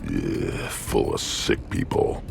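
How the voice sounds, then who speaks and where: A man voicing a young, hulking alien brute in a video game, blunt and deadpan, with a growling voice. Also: slow, over music, lightly acted. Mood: deadpan, unbothered. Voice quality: gravelly, growling. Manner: terse, deadpan.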